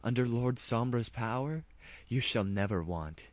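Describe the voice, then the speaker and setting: A man's voice: medium pitch, expressive, a little slow, no room sound, voice pushed up, close to an everyday voice. An insane villain princess pony from an audio story, acted out by a man. The voice is raised and swoops up and down, gloating, cooing and full of theatrical menace. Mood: soothing menace.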